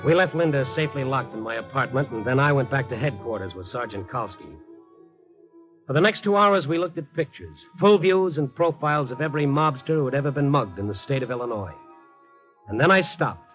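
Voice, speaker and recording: An actor playing a streetwise, protective newspaper reporter in a 1950s radio drama, talking in a colloquial, urgent way. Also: male voice, medium pitch, expressive, a little fast, soft background music, close to an everyday voice. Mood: narrating. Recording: dull old radio recording.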